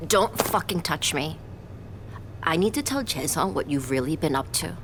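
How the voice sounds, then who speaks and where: A woman's voice: low voice, lively intonation, normal speed, fairly bright tone, a little hiss or hum. A woman playing a betrayed, blunt young woman in a romantic audio drama, sarcastic and accusing. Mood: bitter, hurt.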